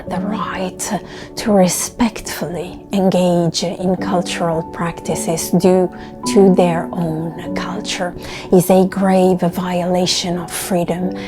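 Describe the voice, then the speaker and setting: A woman hosting her channel, giving an earnest voice-over narration with soft, trailing ends to her phrases. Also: fairly low pitch. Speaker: channel host. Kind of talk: voice-over narration of a video essay. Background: music under the voice.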